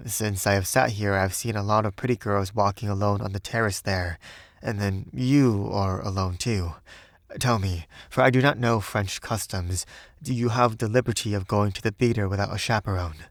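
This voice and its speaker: A man's voice: on the low side, steady tone, moderate pace, dry recording, studio quality, natural voice. A man voicing a polite, naive young American abroad in an audiobook, courteous and earnest. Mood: friendly, candid. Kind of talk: audiobook character voice.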